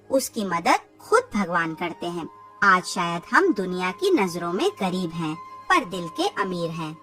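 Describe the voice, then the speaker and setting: A woman playing a poor, loving, worried mother in a cartoon, tender, earnest and expressive. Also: medium pitch, distant mic. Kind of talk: cartoon moral-tale dialogue.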